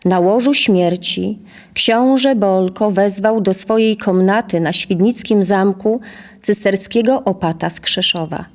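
A woman narrating a scripted audio drama as storyteller, in a measured, literary manner. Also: mid-range voice.

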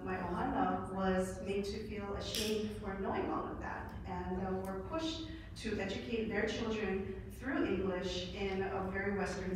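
A woman in her forties speaking as a panelist, telling a family story in a clear, earnest way. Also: mid-range voice, a little hiss or hum. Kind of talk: panel discussion talk. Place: large hotel meeting room. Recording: echoey hall from far off.

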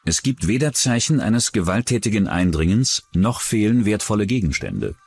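A man's voice: on the low side, big pitch swings, normal speed, creaky, breathy edge. A man narrating a scripted true-crime documentary as its narrator.

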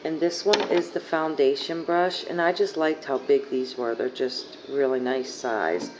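A young woman with a hoarse, fading voice rambling casually through a makeup haul, full of fillers, filmed on a phone on her living room couch. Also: a bass voice, a little slow. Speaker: beauty vlogger. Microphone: phone camera at arm's length. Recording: dull lo-fi phone audio, faint hiss.

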